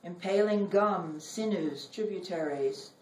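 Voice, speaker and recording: Middle aged woman reading poems slowly to a room, far from mic, really echoey. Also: on the low side, a little mellow. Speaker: poet reading own work. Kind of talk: live poetry reading. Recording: roomy, noisy recording, distant from mic.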